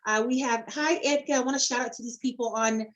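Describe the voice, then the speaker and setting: A woman's voice: on the high side, steady tone, quick pace. A woman hosting a casual online interview, gushing and effusive, with frequent fillers. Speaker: host.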